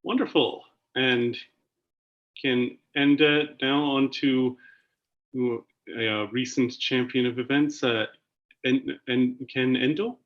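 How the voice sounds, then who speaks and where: A man hosting an online panel, talking haltingly with many fillers and restarts. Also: mid-range voice, steady tone. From Canada. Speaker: panel host. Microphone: video call. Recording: muffled video call audio.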